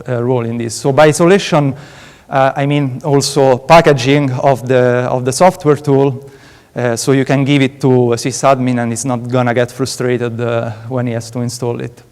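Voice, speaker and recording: Italian guy in his late 20s giving a conference talk, lots of uhs, smooth clear voice, big echoey hall. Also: medium pitch, little pitch movement, moderate pace, darkish tone. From Italy. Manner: hesitant, frequent filler sounds. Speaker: PhD student presenting research.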